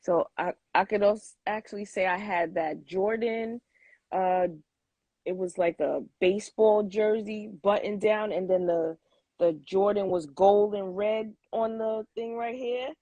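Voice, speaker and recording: A woman's voice: medium pitch, fairly even intonation, unhurried, dark tone, no room sound. A woman in her forties chatting informally as an interview guest, rambling a little as she thinks aloud. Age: 40s. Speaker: interview guest, sneaker collector. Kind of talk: casual interview chat.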